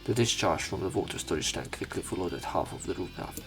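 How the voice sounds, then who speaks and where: Low-voiced man narrating a visual novel. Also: animated, fairly quick, vocal fry, over loud music. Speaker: let's play narrator. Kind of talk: reading visual novel narration aloud, with commentary.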